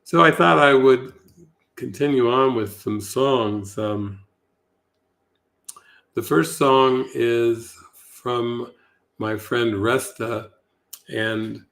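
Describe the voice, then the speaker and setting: A man with a mid-range voice leads a devotional talk as a spiritual teacher. His delivery is earnest and measured, suited to reading and reflecting on spiritual text. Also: medium pitch, gravelly, a bit bright.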